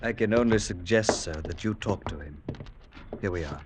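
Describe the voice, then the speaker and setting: Man playing a deferential manservant, formal, very deep voice, loud hiss and noise behind. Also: normal speed, natural voice. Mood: discreet. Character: reserved male manservant, formal and discreet. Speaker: servant.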